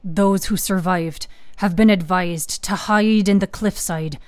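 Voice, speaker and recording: A woman voicing a grim, grieving king who gives orders gravely in a fantasy audio story. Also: mid-range voice, fairly even intonation, no echo, clean studio sound, close to an everyday voice. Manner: slow, grave, dark.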